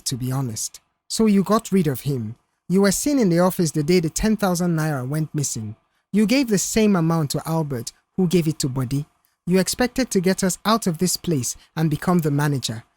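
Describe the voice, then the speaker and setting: A narrator voicing a reserved young male mechanic in an audio story, speaking in a measured, firm and stern way. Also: mid-range voice, expressive, a little mellow, no echo, lightly acted. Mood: stern, accusing.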